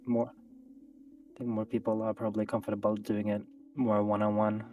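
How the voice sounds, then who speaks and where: Soft-spoken man on a distant mic. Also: fairly low pitch, monotone, moderate pace, narrow, tinny audio, slight background noise. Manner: hesitant, many restarts, thoughtful. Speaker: guest streamer. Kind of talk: casual live-stream chat. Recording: distant mic with faint music.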